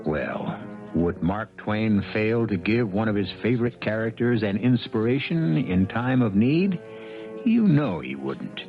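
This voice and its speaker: A man hosting a 1970s radio mystery, narrating theatrically with dramatic, deliberate phrasing. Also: fairly low pitch, very animated. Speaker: host and narrator. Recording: muffled 1970s radio broadcast, music behind.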